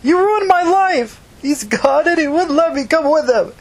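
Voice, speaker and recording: Lady narrator doing angry runaway girl in a story, bitter, hoarse, pitched way up, swings all over. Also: animated, measured pace. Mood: enraged, anguished. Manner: shouting accusations, profane, bitter. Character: enraged, despairing runaway young woman. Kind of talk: audiobook character acting.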